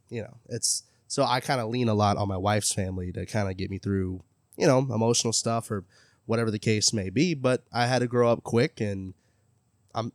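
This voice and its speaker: A man with a fairly low voice hosts a casual interview in an earnest, friendly way. His sound is dry and close-miked. He chats openly and plugs his own channel now and then. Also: no room sound. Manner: earnest, friendly, self-promoting. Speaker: interviewer, gaming content creator.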